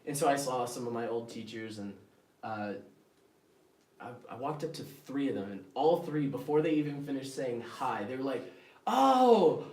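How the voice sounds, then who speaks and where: Young american guy, casual podcast chat, animated storyteller, roomy sound, mic a bit distant. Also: mid-range voice, normal speed. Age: 20s. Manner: animated storyteller, acts out quotes. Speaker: band member, guest.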